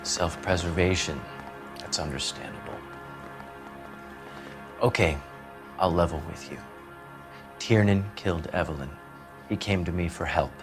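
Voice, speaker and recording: A man's voice: low-pitched, measured pace, long pauses, over music. An American man voicing a smug, evasive, cynical writer under police questioning in a crime game, with a sardonic, articulate manner. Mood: calculating. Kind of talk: video game voice acting, 1940s crime drama.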